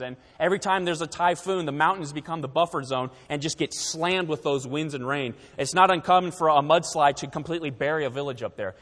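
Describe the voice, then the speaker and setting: A man's voice: a little high, fairly bright tone. Earnest man in an echoing church hall. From Taiwan. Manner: earnest, conversational, frequent fillers. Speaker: missionary speaker. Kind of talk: church missionary slide presentation.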